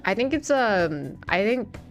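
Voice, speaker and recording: A woman's voice: mid-range voice, big pitch swings, unhurried, faint music behind. A young Canadian woman streaming a game, chatting casually with lots of fillers, restarts and laughter. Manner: rambling, many fillers and restarts, laughs often. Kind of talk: casual chat while gaming.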